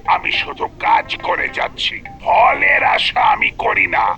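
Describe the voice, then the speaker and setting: A man's voice: very high voice, huge pitch swings, measured pace, vocal fry, sharp tone, full character voice. A man voicing a pompous, self-important police inspector in a cartoon, with a blustering, emphatic delivery. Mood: pompous, boastful. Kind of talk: exaggerated Bengali cartoon acting. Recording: dull lo-fi, music behind.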